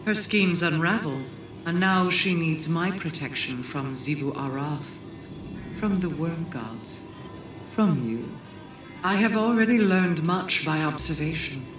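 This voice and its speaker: A guarded, calculating queen delivers a solemn video game monologue. Her words are formal and commanding, echoing as if from a distance over clear music. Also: low voice, measured pace.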